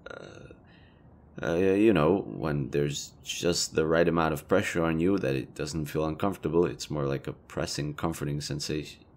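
Man acting as low-voiced, awkwardly flirty elven leader. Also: moderate pace. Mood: sheepish, apologetic. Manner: conversational, hesitant, rambling, casually profane. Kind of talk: intimate roleplay audio drama.

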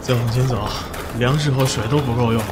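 An actor playing a wry, streetwise expedition adventurer in a radio drama, casual and coaxing. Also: male voice, mid-range voice, fast talker, background noise, lightly acted. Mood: reluctant. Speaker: lead actor and narrator. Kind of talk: radio drama acting and narration.

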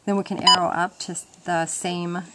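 A woman's voice: on the low side, little pitch movement, normal speed. A woman giving an informal, step-by-step explanation in a casual demonstration. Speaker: instructor. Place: indoors at a wooden table at home. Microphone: handheld camera microphone. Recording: noisy handheld home-video audio with clicks.

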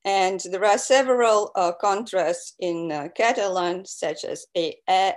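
A woman giving an academic lecture over a video call, pausing often with fillers. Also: medium pitch. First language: Russian. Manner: hesitant, many fillers.

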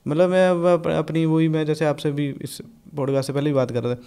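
Casting: young guy from Punjab, slightly high voice, blunt, swears a lot, lively storyteller. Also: a little fast, clean tone. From Punjab, India. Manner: blunt, animated, swears freely, mixes English in. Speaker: podcast guest, rapper. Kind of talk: casual podcast chat.

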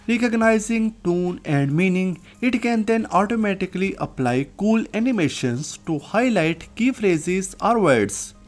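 A person narrating a scripted software tutorial as its host. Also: medium pitch. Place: voice-over, recorded off screen.

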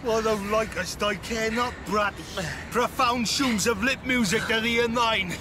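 An actor playing a sullen, insolent young gang member in a theatrical radio drama. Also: male voice, high voice, fairly even intonation, measured pace, over music.

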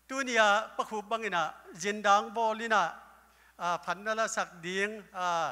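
An older male pastor preaching with a high, very bright voice into a handheld mic. His sermon carries through a church hall with a long, ringing reverb. Now and then he lifts into loud exclamations. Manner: preaching at a lectern, gesturing, with bursts of loud exclamations. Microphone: handheld microphone.

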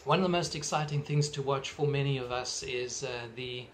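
Earnest South African pastor preaching from home. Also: male voice, mid-range voice, fairly bright tone, slight background noise. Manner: earnest preaching with emphatic hand gestures, measured phrasing. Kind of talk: sermon. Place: living room at home. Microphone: webcam at desk distance.

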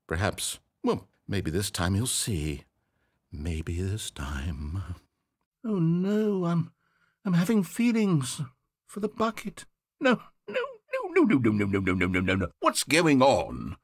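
An actor playing an omniscient game narrator, wry and theatrical. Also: male voice, full character voice. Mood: resigned, then panicked. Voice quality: crisp measured delivery, very animated pitch. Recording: dry close studio voice.

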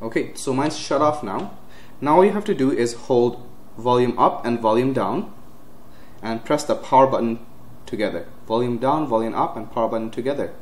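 A young man giving a casual step-by-step computer tutorial, with frequent uhs and quick self-corrections. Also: mid-range voice, expressive, darkish tone. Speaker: tech tutorial host. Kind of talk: screen-recorded software walkthrough. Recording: roomy with faint hiss.

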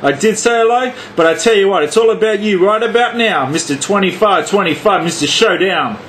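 A man's voice: high voice, animated, brisk, fairly bright tone. A man working as a CB radio operator, giving showy, repetitive patter full of call signs and slang. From Australia. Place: indoors at a radio set. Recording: clearly noisy room beside a radio. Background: radio noise.

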